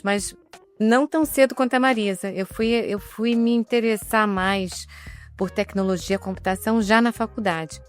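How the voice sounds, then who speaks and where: A woman in her fifties from Rio de Janeiro with a softened carioca accent, speaking as a guest on an executive panel in a measured, explanatory way with light humor. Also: mid-range voice. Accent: Carioca Portuguese, softened. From Rio de Janeiro, Brazil. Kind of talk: panel discussion.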